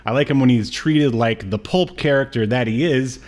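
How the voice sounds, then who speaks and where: A man's voice: medium pitch, normal speed, a little mellow. A middle-aged American man chatting casually as a pop-culture podcast host, rambling with wry asides. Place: small room at home, on a video call.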